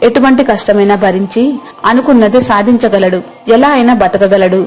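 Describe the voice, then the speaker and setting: Woman acting a humble village mother, medium pitch. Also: brisk, lightly acted. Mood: grateful, pleading. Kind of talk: acted Telugu moral story.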